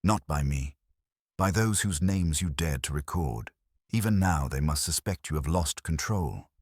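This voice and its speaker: A man voicing a heavy, older genius detective who speaks in a formal, imperious and calm manner in a narrated story. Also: very deep voice, measured pace, no echo, studio quality, exaggerated voice. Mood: stern, authoritative. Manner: ponderous, formal, authoritative.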